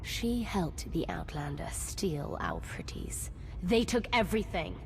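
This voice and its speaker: An actress playing a sadistic, resentful villainess in a video game, with a hushed, menacing, petulant delivery. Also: female voice, on the low side, wildly swooping pitch, measured pace, whispered, full character voice. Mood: bitter resentment. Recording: reverberant game hall, faint music.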